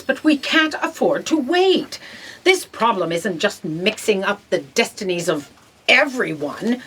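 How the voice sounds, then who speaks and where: She is a brisk, bossy sci-fi boss who counts coins before lives , acted by a woman. Her voice sits fairly high and swoops with emphasis. She stays callous and matter-of-fact, in the manner of a comic audio play. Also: fairly bright tone, slightly rough, full character voice. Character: brisk, callous, penny-pinching female boss of destiny. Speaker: voice actor in an audio drama. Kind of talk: comedic sci-fi audio drama acting.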